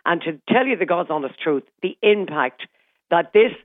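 A woman calling in for a castle hotel, telling its film history with animated excitement. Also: on the low side, moderate pace. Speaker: caller, hotel representative. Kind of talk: phone interview. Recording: tinny phone line.

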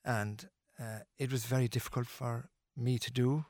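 A man's voice: mid-range voice, fairly even intonation, moderate pace, a little mellow, no echo, studio quality. A middle-aged Irish man, a musician being interviewed, talking earnestly and emphatically with many restarts and fillers. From Ireland.

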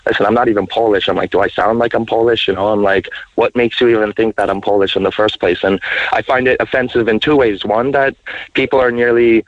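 A man's voice: on the low side, fairly even intonation, fast talker, no room sound. A man in his twenties calling in to a radio show, talking in a rambling way full of fillers. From mixed, raised largely in the United States. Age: 20s. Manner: rambling, heavy with fillers like "you know" and "like". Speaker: caller. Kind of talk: radio phone-in call. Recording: muffled phone-in line.

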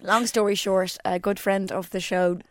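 An Irish woman in her forties tells a story on a podcast, and her voice leaps up whenever she acts out what someone said. She sits at a middle pitch and sounds dry and close to the mic. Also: normal speed, dry recording. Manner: animated storyteller, jumps into high quoted voices. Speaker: podcast co-host. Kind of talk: casual chat.

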